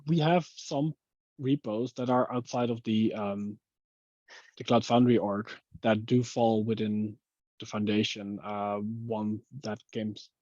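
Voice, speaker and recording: A man with a lowish voice speaks casually in a technical online meeting. His phrasing is hesitant and halting. The sound is dry and a little dull, like compressed call audio. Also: steady tone, darkish tone, no room sound. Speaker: working group member giving a technical update.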